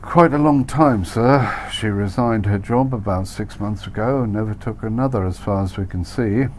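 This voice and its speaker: A man's voice: lowish voice, moderate pace, a little mellow, a little hiss or hum, pitched above the performer's own voice, lightly acted. A man voicing a young British police inspector in an audiobook, formal, courteous and official. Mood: formal questioning. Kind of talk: audiobook character dialogue.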